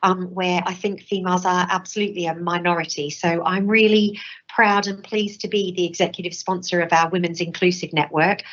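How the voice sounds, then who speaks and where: A woman from Australia, a managing director answering interview questions in a confident, articulate, measured way. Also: on the low side, fairly even intonation. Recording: dull lo-fi audio.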